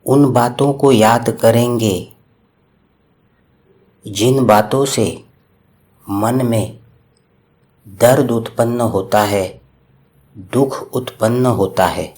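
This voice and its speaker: Very slow, calm male meditation guide. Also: mid-range voice. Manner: slow, calm, soothing instructions with long pauses.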